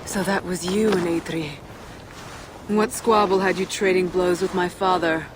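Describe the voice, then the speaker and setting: An actress playing a haughty young fire-giant princess in a video game, sardonic and defiant. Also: female voice, lowish voice, steady tone, measured pace, noisy background, close to an everyday voice. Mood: scornful, defiant. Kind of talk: video game cutscene acting.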